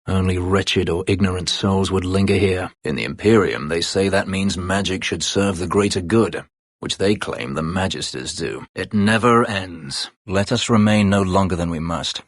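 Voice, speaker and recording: An actor playing a brooding, bitter escaped slave elf warrior in a video game, speaking tersely and formally in a husky voice. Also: male voice, sits low, moderate pace, no echo, clean studio sound. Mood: guarded, sombre. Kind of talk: video game character dialogue.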